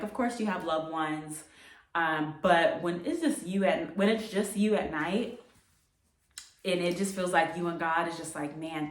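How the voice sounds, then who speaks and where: A young American woman vlogger speaks in a fairly low voice, sharing her story in a reflective, conversational way. She repeats phrases for emphasis. The sound is quite echoey, picked up some distance from the microphone. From United States. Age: late 20s. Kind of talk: casual personal storytelling to camera.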